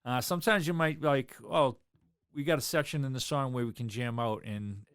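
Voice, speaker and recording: A man's voice: medium pitch, moderate pace, fairly bright tone, clean tone, no echo, studio quality. A man, a drummer talking loosely in an interview, rambling with lots of fillers. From South Boston, USA. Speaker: drummer and guest. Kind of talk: casual interview chat.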